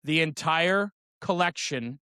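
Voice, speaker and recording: A man's voice: fairly high pitch, slow, sharp tone, clear, resonant tone, no room sound, clean studio sound. A middle-aged man in a casual, enthusiastic show-and-tell chat, speaking informally with slang and often checking for agreement. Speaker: guest, trading card brand founder.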